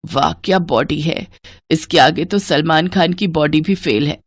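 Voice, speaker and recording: A scheming middle-aged stepmother, played by a woman narrator in a moral story. She speaks low, coy and insinuating, with shameless desire. The sound is dry, with no room to it. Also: unhurried, no room sound. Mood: smitten. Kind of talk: Hindi moral-story cartoon voice acting.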